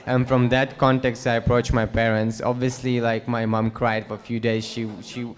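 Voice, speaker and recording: A young man from Russia, sincerely recounting his life as an interview guest, halting with fillers. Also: medium pitch, level tone. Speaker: guest, new monk. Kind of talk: earnest personal account. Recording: long hall reverb.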